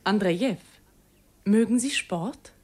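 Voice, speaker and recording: A woman's voice: mid-range voice, takes it slowly, darkish tone, breathy edge. An actress playing a governor's playful, pouting young daughter in a radio drama, teasing and girlish. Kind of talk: German radio drama acting.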